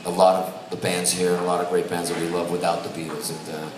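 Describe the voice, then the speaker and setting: A man's voice: low voice, flat delivery, quick pace, far from the mic, over music. A man in a band answering fan questions at a panel, joking and rambling with restarts. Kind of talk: joking casual panel talk. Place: event hall on a cruise ship. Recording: echoey hall with loud crowd.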